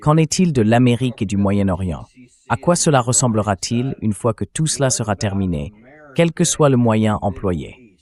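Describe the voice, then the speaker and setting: A man's voice: lowish voice, big pitch swings, moderate pace, darkish tone. A man hosting a political interview in a dubbed translation, building up long, discursive questions. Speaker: interview host.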